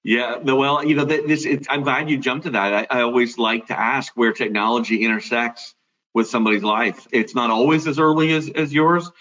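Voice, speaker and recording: A man's voice: mid-range voice, steady tone, a little fast, a bit bright, muffled recording. A man hosting a podcast, talking in a chatty, conversational way with fillers. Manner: chatty, friendly introductions with fillers. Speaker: podcast host.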